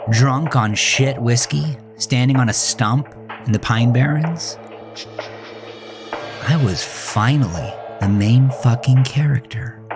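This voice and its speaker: A man narrating a scripted first-person horror story in a dramatic, deliberate way with drawn-out pauses. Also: medium pitch, gravelly. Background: background music.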